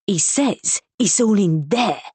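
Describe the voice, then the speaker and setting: A woman doing a mumbling, surly rough husband. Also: lowish voice, measured pace, darkish tone, dry recording. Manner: mumbling, gruff, savage. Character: surly injured rough male drunkard.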